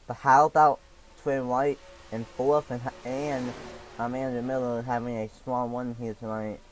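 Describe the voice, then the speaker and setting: A young man calling a sim racing league race play by play, a little raspy from allergies, with halting delivery, frequent fillers and restarts. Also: medium pitch, level tone, slight background noise, low-quality recording.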